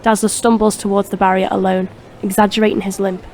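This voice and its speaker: A woman's voice: mid-range voice, flat delivery, fast talker, a little mellow, a little hiss or hum. A woman reading scripted narration for a crime audio drama.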